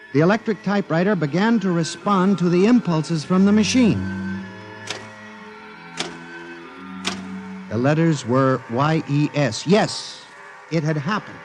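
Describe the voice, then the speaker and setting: A man's voice: on the high side, lightly acted. A man acting an obsessed office clerk in a 1950s radio thriller, speaking with formal, theatrical intensity. He sounds earnest and fearful, and music plays behind his dramatic confession. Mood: awed, ominous.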